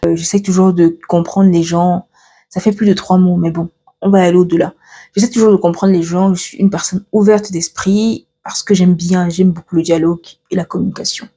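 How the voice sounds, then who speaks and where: A young woman from Cameroon talks casually to her viewers, stopping and restarting as she glances at her notes. Her voice sits low and mellow, with a soft husky edge. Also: steady tone, fairly quick. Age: 20s. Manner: chatty, many fillers and restarts, reads from notes. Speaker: vlogger. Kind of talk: casual get-to-know-me chat.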